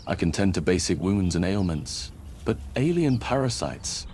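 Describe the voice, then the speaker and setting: A noble, righteous paladin from a fantasy game. He speaks in a fairly low voice, composed and polite yet firm, with faint ambience behind him. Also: very animated, moderate pace, a little mellow, slight background noise. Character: noble, calm, righteous male paladin hero.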